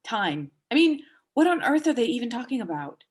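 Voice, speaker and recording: A woman in a dramatic reading, playing a sharp, foul-mouthed, vulnerable woman with a halting, blunt delivery. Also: on the high side, big pitch swings, breathy edge. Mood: angry, bitter. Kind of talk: dramatic reading of a stage play dialogue.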